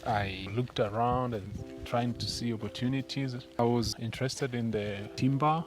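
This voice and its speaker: A man with a Tanzanian accent answering interview questions in a measured way, feeling for his words. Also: medium pitch, fairly even intonation. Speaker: entrepreneur being interviewed. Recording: music behind the voice.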